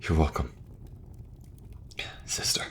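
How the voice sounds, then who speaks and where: A man playing an earnest young king who speaks gently and falters a little when things get awkward. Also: slow, in a whisper. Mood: warm. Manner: gentle, soft-spoken, faltering at awkward moments. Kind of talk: audio roleplay acting.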